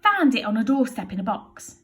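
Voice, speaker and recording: A woman's voice: on the high side, very animated, normal speed, voice pushed up. A woman doing Burglar Bill, a bluff burglar. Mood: storybook. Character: gruff kindly male burglar, grown man.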